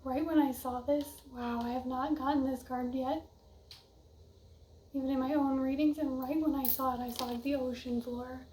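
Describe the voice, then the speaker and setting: A young woman with a soft voice giving a casual oracle card reading, musing and drifting off mid-thought. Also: sits high, steady tone, moderate pace, slight background noise, poor audio. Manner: dreamy, musing, often trails off. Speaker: card reader.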